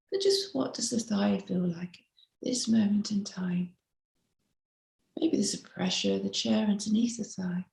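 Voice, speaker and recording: A woman leads a guided meditation as a mindfulness teacher, giving soft, unhurried instructions. Her voice sits at a middle pitch with heavy vocal fry. The recording sounds noticeably echoey and roomy. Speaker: mindfulness teacher leading a body scan.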